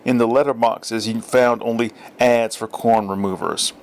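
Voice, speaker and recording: A male audiobook reader with a medium-pitched voice. He delivers scripted story narration, reading literary prose aloud. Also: fairly even intonation, normal speed.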